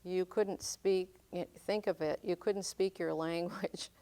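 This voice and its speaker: An older woman from northern Maine, raised speaking French, talks slowly and thoughtfully. Her voice sits a little low and sounds smooth and clear. She pauses often as she gathers her memories. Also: fairly even intonation, warm tone. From northern Maine, United States. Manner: unhurried, reflective, frequent ums. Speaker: interviewee. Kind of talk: oral history interview.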